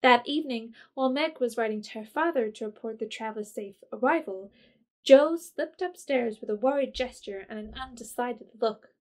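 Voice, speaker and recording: A woman narrating a classic storybook in a measured way. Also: a little high, darkish tone.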